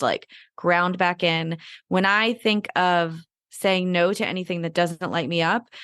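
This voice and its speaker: A young woman hosting a podcast, thinking aloud in a reflective way and reaching for words with "you know" and "like". Her voice sits fairly low and sounds dry and close. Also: a bit bright, clean tone, dry recording. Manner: reflective, searching for words with fillers. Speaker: podcast host. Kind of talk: casual interview talk.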